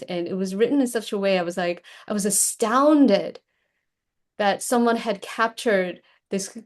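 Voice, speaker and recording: A woman hosting an interview, born in Korea with Korean as her first language, talking in a lively way and often laughing. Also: medium pitch. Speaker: podcast host, executive coach. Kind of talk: conversational interview.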